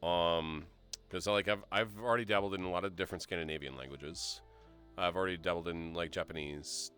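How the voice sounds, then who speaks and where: A man hosting a casual live-stream talk show, reading viewer questions aloud in a relaxed, rambling way. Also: low voice, a little fast, faint music behind. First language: English. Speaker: show host.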